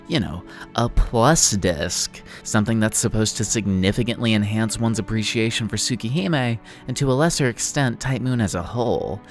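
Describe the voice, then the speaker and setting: Lowish voiced guy doing a casual video essay rant, sarcastic and self-deprecating, bit of music behind him. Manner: sardonic, self-deprecating, informal with swearing. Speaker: online video essayist, host of the channel. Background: background music.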